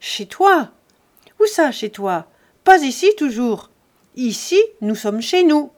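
A woman voicing an angry, stubborn peasant man who shouts blunt, coarse threats. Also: high voice, expressive, a little slow, voice pushed up. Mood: angry shouting.

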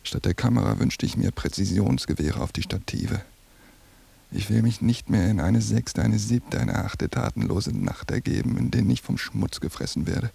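A brooding surveillance agent thinking to himself in a radio play. His voice is dark and very husky, heavy with fry. The delivery is terse, bitter and obsessive, seething underneath. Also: on the low side, normal speed, full character voice. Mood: restless, seething. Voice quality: gravelly, husky. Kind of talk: radio drama interior monologue.

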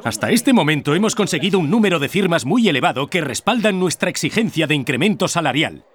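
A man's voice: fairly high pitch, very animated, fast talker. An actor playing a fiery union worker who rallies his comrades at an assembly, with a Spanish accent, for a radio drama. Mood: rousing.

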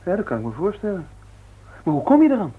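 An actor playing a cocky, playful student son, eager and jocular, in a 1960s radio play. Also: male voice, sits high, wildly swooping pitch, fast. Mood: curious, eager. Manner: cheeky, jocular. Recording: narrow, tinny 1960s radio recording.

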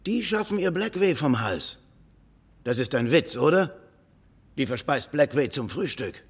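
Husky, cocky small-town brawler. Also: male voice, medium pitch, big pitch swings, measured pace. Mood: incredulous. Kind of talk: radio drama acting.

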